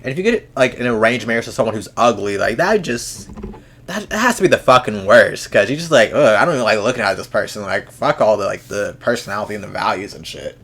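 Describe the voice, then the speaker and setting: A man's voice: lowish voice, lively intonation, fast talker, a bit bright, breathy edge. A young man hosting a reaction video, talking casually and frankly, with lots of restarts and swearing. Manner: rambling, frank, swears freely, many restarts.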